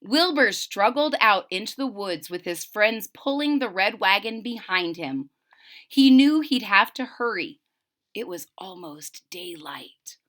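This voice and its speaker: Slow, very animated woman reading a children's story. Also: fairly high pitch. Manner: animated, dramatic, explains the story as it goes. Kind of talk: expressive read-aloud with explanatory asides to children.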